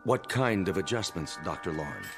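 An actor playing a wary android servant in a radio drama, formal and guarded. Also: male voice, low-pitched, lively intonation, normal speed, long reverb tail, over music.

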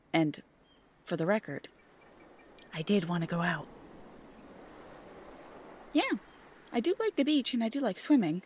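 Slow-talking, playful girlfriend acted by a woman. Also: mid-range voice, a little mellow. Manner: teasing, mock-complaining, drawn-out emphasis. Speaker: ASMR roleplay creator. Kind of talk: ASMR girlfriend roleplay, intimate casual chat.

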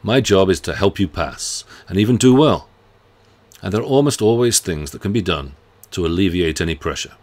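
A middle-aged man, a university professor reading a measured, earnest self-introduction to students from his home study. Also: deep voice, expressive, a little mellow. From United Kingdom. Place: home study lined with bookshelves.

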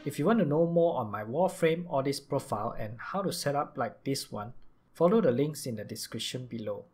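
A man's voice: mid-range voice, animated, fairly quick, a little mellow, a little hiss or hum. A man narrating a scripted gaming tutorial as a video creator.

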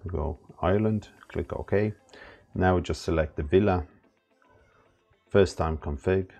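A man narrating a casual step-by-step product setup tutorial in a plain, halting instructional way. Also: low voice, creaky, darkish tone. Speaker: product demonstrator. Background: background music.

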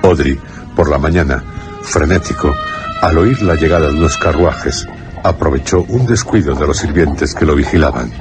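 A man reading scripted horror narration for a radio drama in a solemn, measured way. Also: a bass voice. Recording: radio drama with music behind.

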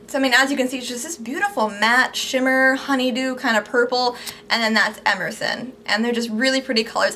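A young woman with a high, bright voice talks eagerly and chattily about things she bought, filmed close to the camera in a small room at home. Her voice has gone a little squeaky from overuse, and a faint hum of room tone sits behind her. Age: young adult. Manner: chatty, upbeat, gushing about products. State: losing her voice, slightly squeaky. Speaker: beauty vlogger. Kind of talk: casual haul video.

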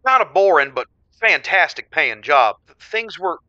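An unlucky-in-love radio caller, stammery and earnest, highish bright voice, slightly dull line. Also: male voice, sing-song, a little slow, no room sound, exaggerated voice. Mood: troubled, earnest. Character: nervous, gushing, lovelorn unlucky man calling radio show. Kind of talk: comic audio drama acting.

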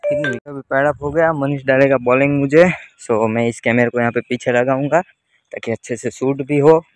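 A man's voice: mid-range voice, steady tone, normal speed. Young man vlogging outdoors. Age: young adult. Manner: chatty, addresses viewers directly. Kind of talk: casual vlog narration. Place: outdoor cricket ground. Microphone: handheld camera at arm's length.

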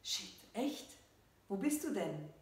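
A woman voicing a brisk, matter-of-fact teenage best friend in a story reading. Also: mid-range voice, sing-song. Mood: brisk concern. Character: Indra, loyal, sceptical teenage best friend. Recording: very echoey room, distant microphone.

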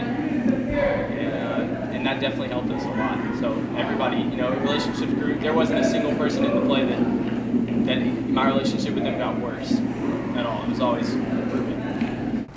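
A man's voice: medium pitch, brisk. Echoey teenage male student actor. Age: teens. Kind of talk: casual interview talk. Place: stage in a dark theatre. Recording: echoey, distant, loud background noise.

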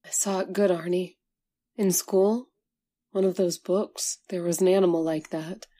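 A woman's voice: on the low side, steady tone, a little slow, warm tone. A woman playing a devoted, frightened young woman in an old-time radio drama, breathless and pleading. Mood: hushed, fearful.

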